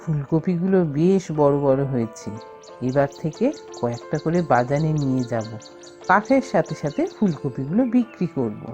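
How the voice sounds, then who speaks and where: A woman voicing an enterprising, hopeful young village man in a cartoon fairy tale, thinking aloud in a deliberate, expressive way. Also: deep voice, muffled recording. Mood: pleased, planning. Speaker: cartoon character voice. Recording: music bed behind the voice.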